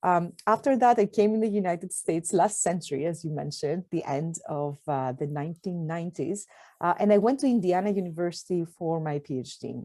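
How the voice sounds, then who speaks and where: A middle-aged woman from Greece with a Greek accent, answering interview questions thoughtfully with pauses and restarts. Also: on the low side, mellow. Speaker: guest astronomer.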